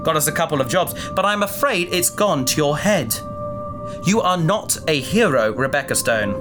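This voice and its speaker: A sceptical, rational crew mate in a sci-fi audio drama, acted by a man, argues in articulate, emphatic phrases. The pitch rises and falls widely, and music plays clearly behind. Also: animated, moderate pace, close to an everyday voice. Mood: scornful lecturing.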